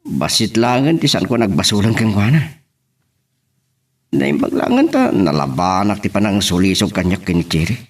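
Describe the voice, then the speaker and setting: A man's voice: low-pitched, animated, moderate pace. A man acting an earnest, burdened husband and father in an Ilocano audio drama. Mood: confiding.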